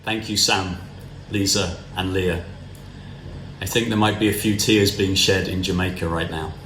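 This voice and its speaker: A man's voice: low voice, steady tone, normal speed. A man speaking as a ceremony host, formally introducing speakers. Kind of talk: formal event announcing. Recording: echoey room with faint noise.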